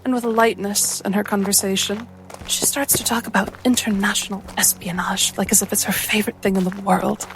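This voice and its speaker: A woman's voice: medium pitch, fairly even intonation, brisk, faint music behind, low-quality recording. A woman playing a tabletop game, narrating what her character does. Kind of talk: descriptive roleplay narration.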